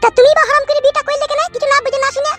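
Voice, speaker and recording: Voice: extremely high pitch, level tone, rapid-fire, over loud music. A performer voicing a scorned young village wife in a cartoon, scolding shrilly. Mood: shrill.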